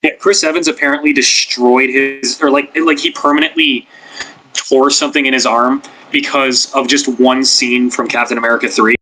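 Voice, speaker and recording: A man's voice: mid-range voice, fairly even intonation, moderate pace, bright, forceful tone, low-quality recording. A man on a podcast panel about ASMR, chatting casually with lots of fillers and rambling asides. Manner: rambling, filler-heavy, many "like"s. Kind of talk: casual chat.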